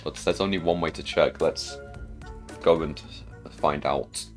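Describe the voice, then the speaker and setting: Low-voiced man doing gameplay commentary. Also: fairly even intonation, a little slow, soft background music. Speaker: gamer narrating own play.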